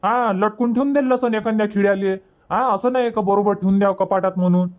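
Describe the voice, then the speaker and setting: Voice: medium pitch, steady tone, fast talker, lightly acted. A performer plays a village husband and father from a cartoon comedy. He speaks in a Varhadi Marathi accent, handing out orders and scolding like an exasperated head of the house. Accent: Varhadi Marathi from Vidarbha. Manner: bossy, scolding.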